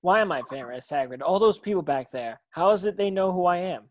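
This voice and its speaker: A man's voice: high voice, animated, a little fast, no echo. Man as a curious boy wizard, dry sound. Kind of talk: table read of a film screenplay.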